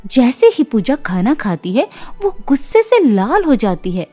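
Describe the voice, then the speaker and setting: High, expressive woman storyteller. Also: unhurried, soft background music. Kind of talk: scripted children's moral-story narration.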